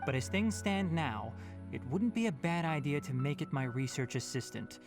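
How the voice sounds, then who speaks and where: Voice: medium pitch, lively intonation, normal speed, music in the background, natural voice. An actor playing a young male scholarly forest ranger, precise and drily sardonic, in an anime-style game. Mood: calm, reflective. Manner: precise, formal, drily stern.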